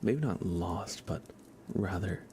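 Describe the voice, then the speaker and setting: A man hosting an ASMR livestream, chatting softly and casually in a hushed, unhurried way that often slips into whispering. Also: low-pitched, lively intonation, a little hiss or hum. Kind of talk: soft ASMR livestream chat.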